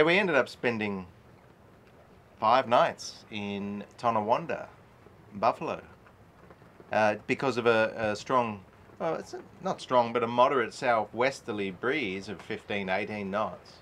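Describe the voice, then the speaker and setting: A man's voice: lowish voice, measured pace, fairly bright tone, slight background noise. A middle-aged man chatting casually on his sailboat, rambling and exclaiming as he talks, recorded on a camera mic. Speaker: sailing vlogger. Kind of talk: casual vlog chat. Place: on a sailboat at night, then in the cabin.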